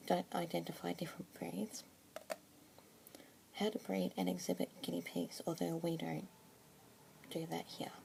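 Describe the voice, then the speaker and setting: Young Australian woman, soft close-mic ASMR whisper, low and gravelly, noisy hiss behind. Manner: soft whispering, gentle and unhurried. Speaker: ASMR roleplayer as pet adoption consultant. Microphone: close sensitive ASMR mic.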